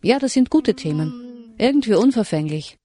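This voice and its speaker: A woman narrating a radio feature in a reflective, questioning, measured style. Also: fairly high pitch, animated, mellow. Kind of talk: scripted documentary narration.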